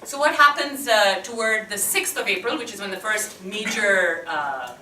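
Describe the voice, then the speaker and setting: A woman's voice: medium pitch, animated, moderate pace, crowd noise. A woman giving an unscripted academic talk at a seminar, thinking aloud with many hesitations and fillers, speaking without a microphone. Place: lecture hall, speaking without a mic. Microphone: no mic, picked up from a distance. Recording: echoey hall, distant mic, faint hiss.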